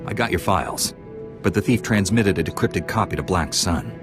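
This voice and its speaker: A man's voice: deep voice, lively intonation, moderate pace. A man playing a bold, dutiful hero in a video game, speaking directly and resolutely. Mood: concerned. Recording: music playing behind.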